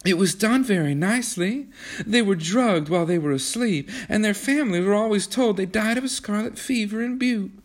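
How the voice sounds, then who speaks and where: A man doing a cool young heiress. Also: moderate pace. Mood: calm. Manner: raised tearful pitch, breaking with sobs. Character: wealthy young woman, calmly chilling heiress.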